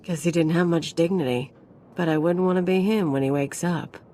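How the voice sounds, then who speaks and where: An actress plays a cynical female mercenary in a sci-fi game. Her voice is low for a woman, with a dry, sardonic and easygoing delivery. Light background ambience is audible. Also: moderate pace, warm tone, slight background noise, close to an everyday voice. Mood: amused, scornful. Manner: sardonic, casual. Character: wry, cynical, streetwise female mercenary sidekick. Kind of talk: video game voice acting.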